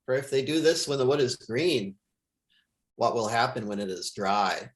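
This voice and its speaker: A man who is a pastor, reading scripture aloud in a solemn, measured way. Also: mid-range voice, expressive.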